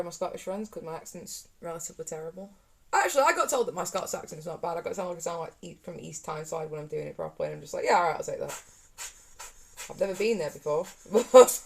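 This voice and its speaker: A woman's voice: on the low side, a little mellow. A woman chatting casually to her live-stream viewers while repairing a console, talking to herself as she works.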